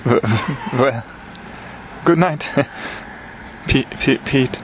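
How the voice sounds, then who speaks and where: An actor playing a guilty, nervous small-town butcher in a horror audio drama, hesitant and prone to stammering. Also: male voice, mid-range voice, very slow, noisy background.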